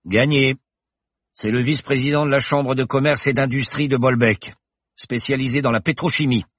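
A man's voice: mid-range voice, fairly even intonation, normal speed, fairly bright tone, no echo, studio quality, voice pushed up, close to an everyday voice. A man voicing a boastful, eccentric older male professor who flies a plane, talking in a jovial, showy way. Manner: jovial, showy, lofty speech.

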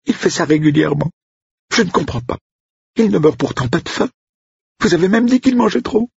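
A panicky, whimpering cook, her voice jumping up high and shrill, as a deep-voiced man puts her on. She sounds tearful and easily frightened, with a gravelly edge under the strain. The recording is dry and a little dull. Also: animated, a little fast, a little mellow, no room sound, exaggerated voice. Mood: distraught.

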